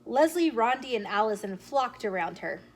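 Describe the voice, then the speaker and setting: Animated high-voiced woman reading children's stories. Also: normal speed. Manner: animated, expressive, addresses young listeners directly. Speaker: storyteller host. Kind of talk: children's story read-aloud. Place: bookshop, with ambient noise. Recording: clear background noise.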